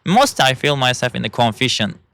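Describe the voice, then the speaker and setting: A young man with a Turkish accent speaking English as a second language, talking in a casual monologue and often pausing to search for words. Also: mid-range voice, big pitch swings, gravelly, a bit bright, no room sound. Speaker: vlogger.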